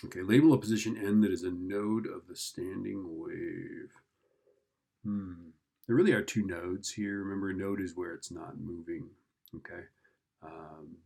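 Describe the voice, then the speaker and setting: Male teacher, low creaky voice, informal tutoring delivery, thinks out loud and checks in often. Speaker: physics teacher. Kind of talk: informal lesson, working through an exam problem.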